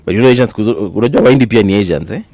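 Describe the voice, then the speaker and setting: Male Kenyan radio co-host: animated storyteller, dry close studio sound. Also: lowish voice, very fast, dry recording. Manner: animated storytelling, mixes Swahili into English, laughs often. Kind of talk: casual on-air banter. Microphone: studio microphone.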